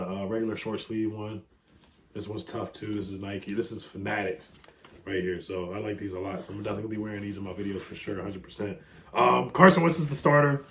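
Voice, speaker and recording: A man talks in a hoarse, raspy voice roughened by a cold. His talk is loose, casual fan commentary, broken up by ums and the occasional throat clear. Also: mid-range voice, a bit bright. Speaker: sports video host.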